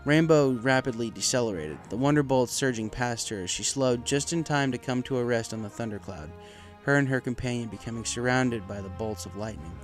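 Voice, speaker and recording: Male narrator, mid-pitched voice, dramatic storytelling, music behind. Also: a little fast, hardly any pauses. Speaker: story reader.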